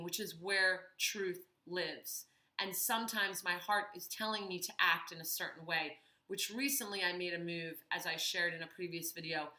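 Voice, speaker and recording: A woman giving an earnest, reflective talk for her video series, with thoughtful pauses and fillers. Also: on the low side, sharp tone, echoey room. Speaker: vlogger. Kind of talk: reflective personal talk to camera.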